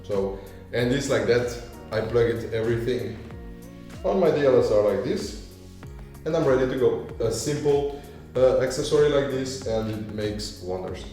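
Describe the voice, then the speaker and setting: A deep-voiced man casually walking viewers through gear as a video creator, with non-native English phrasing. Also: normal speed, warm tone. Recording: echoey room, far from mic, music behind. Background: music.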